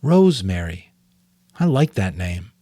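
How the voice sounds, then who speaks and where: Guy doing a curious polite kid for an audiobook, kinda tentative, pitch goes up and down, dry sound. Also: very animated, a little slow, dark tone, husky, dry recording, full character voice. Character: earnest, hesitant, curious young boy apprentice.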